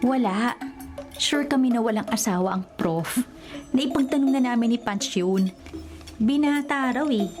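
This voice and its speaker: Sassy, high-pitched young female best friend, acted. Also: measured pace, music in the background, lightly acted. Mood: gossipy. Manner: sassy, slangy. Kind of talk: Filipino radio romantic comedy acting.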